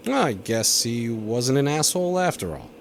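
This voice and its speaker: An actor playing a dim, sweet, hapless ex tagging along on a space mission in an audio drama, earnest and guileless. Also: male voice, mid-range voice, very animated, moderate pace, gravelly. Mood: tender. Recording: noisy background ambience.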